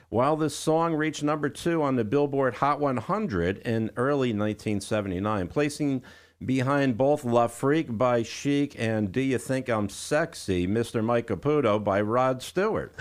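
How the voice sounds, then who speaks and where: A male radio host presents music trivia in an upbeat, emphatic announcer style. His pitch swings widely from high punches to low asides, and he keeps a jokey manner throughout. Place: radio studio.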